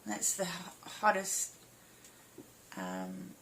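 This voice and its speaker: A woman with a slightly hoarse, croaky voice giving a casual step-by-step craft tutorial in short, informal phrases as an instructor. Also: very deep voice, very animated, takes it slowly, background noise. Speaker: embroidery instructor.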